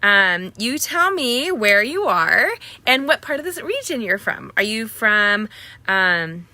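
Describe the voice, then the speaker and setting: Bright-voiced chatty woman hosting a live craft class. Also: a little high, very animated, slow, no room sound. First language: Spanish. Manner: chatty, greets viewers by name, frequent filler words. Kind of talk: casual live-stream chat with viewers.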